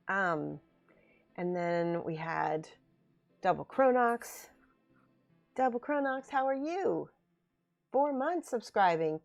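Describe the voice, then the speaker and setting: A woman hosting a casual livestream, chatting and laughing as she reads viewer comments aloud. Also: on the low side. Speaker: miniature painting streamer. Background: faint background music.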